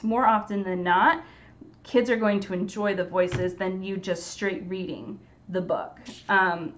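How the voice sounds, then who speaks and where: A young woman talks through her storytime advice in a casual, earnest way, encouraging her listeners. Her voice sits at a middle pitch. The recording sounds dull and lo-fi. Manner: earnest, encouraging, plain-spoken. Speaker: storytime presenter. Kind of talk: casual instructional talk to camera.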